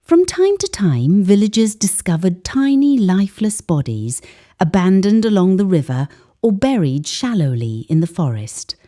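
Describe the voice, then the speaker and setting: A woman's voice: mid-range voice, sing-song, moderate pace, warm tone. A woman narrating a folktale as a dramatic, scripted storyteller.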